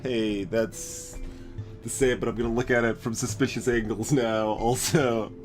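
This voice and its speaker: A man's voice: mid-range voice, fairly even intonation, unhurried. A man hosting a let's play with casual gameplay commentary, thinking aloud and often hesitating as he muses. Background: game music.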